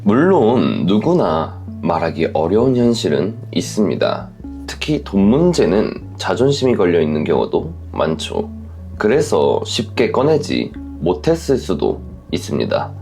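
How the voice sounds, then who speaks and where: A man hosting a letter-reading show, delivering scripted, reflective advice commentary in a polite, measured, earnest manner. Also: low voice, huge pitch swings, vocal fry, warm tone, soft background music.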